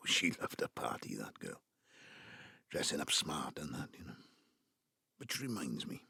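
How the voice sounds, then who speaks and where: A man's voice: rumbling low, vocal fry, bright, forceful tone, slightly rough, no echo, clean studio sound, heavily acted. An actor playing a folksy, jovial Welsh widower in his seventies from the Valleys, rambling and chummy, in an audio drama. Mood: wistful, gruff. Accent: South Wales Valleys Welsh. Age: 70s. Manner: rambling, chummy, groping for words. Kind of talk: naturalistic audio drama acting.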